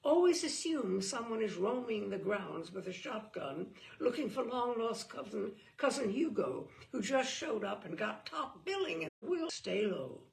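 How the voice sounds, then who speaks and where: A woman's voice: medium pitch, big pitch swings, a little slow, gravelly, hardly any pauses. A woman giving an arch, theatrical, mock-serious reading of a humorous book. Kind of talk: theatrical reading aloud of comic prose.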